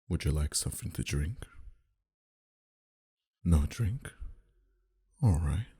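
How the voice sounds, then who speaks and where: A man playing a dominant, flirty mafia boss in an intimate ASMR roleplay, talking in a deliberate, quietly threatening and teasing manner. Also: rumbling low, breathy edge, heavily acted. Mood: smooth, courteous. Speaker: voice actor.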